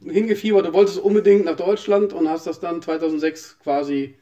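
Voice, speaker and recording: A man's voice: a little high, fairly even intonation, brisk, dark tone, muffled recording. A male host interviewing a guest, prompting him and rephrasing his answers in casual German.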